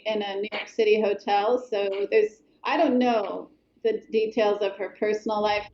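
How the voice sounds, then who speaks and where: A middle-aged woman from Colorado, speaking as an author guest in a thoughtful, hesitant way, with many pauses and restarts. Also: medium pitch, a little mellow, roomy sound, muffled recording. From Colorado, USA. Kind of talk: reflective interview talk.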